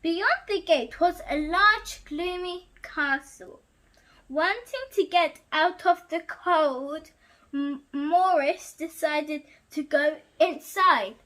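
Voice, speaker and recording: A woman's voice: extremely high pitch, slow, low-quality recording. A female host reading a story aloud expressively, with exclaimed asides to the viewers. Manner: animated, exclaiming reactions.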